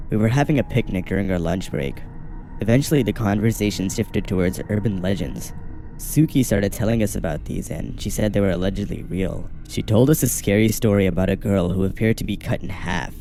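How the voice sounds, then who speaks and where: A man tells a scripted horror story in the first person, in a steady and measured way. His voice sits fairly low and sounds soft and mellow. Faint music runs quietly behind him. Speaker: narrator.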